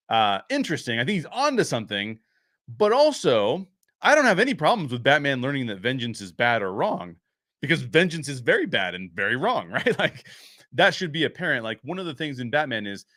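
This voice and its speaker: A man's voice: medium pitch, pushed and bright, no echo, clean studio sound. A man giving unscripted film commentary as a show host, explaining his points emphatically.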